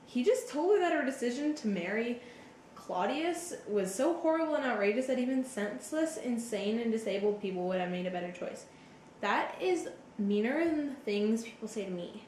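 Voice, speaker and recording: A woman's voice: on the high side, darkish tone, close to an everyday voice. A woman playing a curious, blunt younger sibling who reacts to a play in casual, informal chat. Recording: roomy echo, faint background noise.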